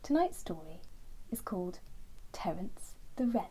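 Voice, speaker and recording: Lady reading a kids bedtime story, soft n husky, loads of expression in the pitch. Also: sing-song, unhurried, poor audio. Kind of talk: bedtime story narration for children.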